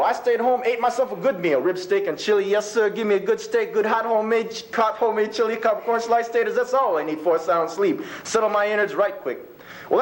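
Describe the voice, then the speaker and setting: Man playing a high-pitched folksy old rancher. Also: rough recording. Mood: grumbling. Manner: folksy drawl, exclaiming, colloquial rural speech. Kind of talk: dramatic monologue poem recited live.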